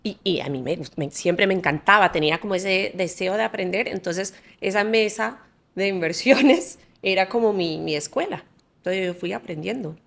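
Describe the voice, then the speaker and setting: Us-born woman in her 40s, english her first language, gravelly fry in the voice, animated storytelling, laughs a lot. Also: medium pitch, normal speed. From United States. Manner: animated, laughs often, restarts mid-phrase. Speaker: guest. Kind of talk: candid conversational storytelling.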